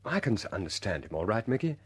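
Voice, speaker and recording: An actor playing an earnest, good-humoured RAF squadron leader in a vintage radio drama. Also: male voice, on the low side, very animated, quick pace, husky. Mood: confiding, wry. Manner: hesitant stammers when pressing a point, otherwise commanding.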